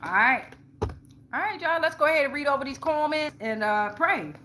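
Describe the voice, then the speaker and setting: High, bright-voiced woman, blunt and slangy. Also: lively intonation, normal speed, slight background noise. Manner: blunt, heavy swearing, slangy, repeats phrases for emphasis. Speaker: tarot reader, show host. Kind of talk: casual spiritual tarot reading to followers.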